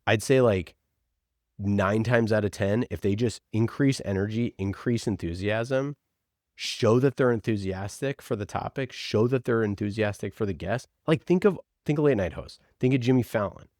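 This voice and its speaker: Low-voiced man giving emphatic podcast advice. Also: moderate pace, darkish tone, dry recording, studio quality. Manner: emphatic, opinionated, acts out imagined exchanges. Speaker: podcast producer, interview guest. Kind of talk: casual interview talk, giving advice.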